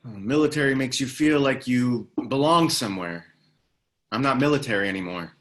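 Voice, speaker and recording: A man's voice: mid-range voice, expressive, brisk, a bit bright, lightly acted. A man reading the part of a wry, easygoing ex-soldier in a screenplay table read, with a casual, laconic delivery. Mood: frank, practical. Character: wry, easygoing ex-military man, protective ally. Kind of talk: screenplay table read, naturalistic dramatic acting.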